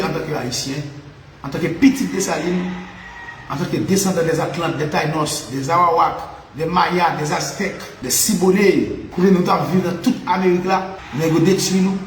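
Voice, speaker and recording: A Haitian man delivering an impassioned spiritual monologue, mixing Creole and French, repeating phrases and laughing often. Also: fairly high pitch. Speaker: spiritual commentator. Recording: echoey room with faint ambient noise.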